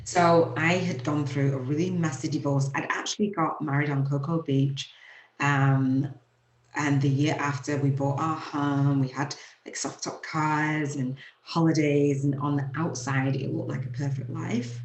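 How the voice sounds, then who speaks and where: A woman's voice: sits low, steady tone, unhurried. Leeds-accented woman in an echoey room. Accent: Leeds, Yorkshire. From England, UK. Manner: earnest, reflective, laughs through emotional moments. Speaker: show host. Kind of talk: personal storytelling monologue.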